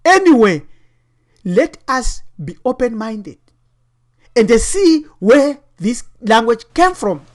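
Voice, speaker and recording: A woman's voice: a little high, big pitch swings, takes it slowly, darkish tone. Preachy woman firing rhetorical questions. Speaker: online teacher and commentator. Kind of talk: commentary over web pages.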